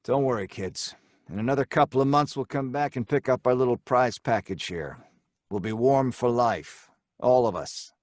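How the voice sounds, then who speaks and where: An actor playing a hard-boiled crook with an American accent, dramatically acting and narrating in old-time radio horror style. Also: male voice, medium pitch, normal speed, dry recording, clean studio sound, lightly acted. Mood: cocky. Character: Stanley, hard-boiled male crook narrating his own doom.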